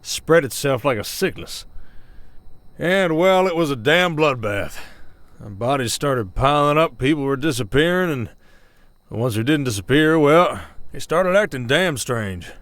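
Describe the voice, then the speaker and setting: A man's voice: mid-range voice, animated, unhurried, a bit bright, no echo. A man playing a folksy, hesitant werewolf sheriff with a Southern Old West drawl in an ASMR roleplay. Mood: grave storytelling. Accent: American Southern, Old West drawl. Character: folksy werewolf sheriff of an Old West town, long-lived but young-faced. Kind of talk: roleplay audio acting, ASMR. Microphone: close studio mic, dry.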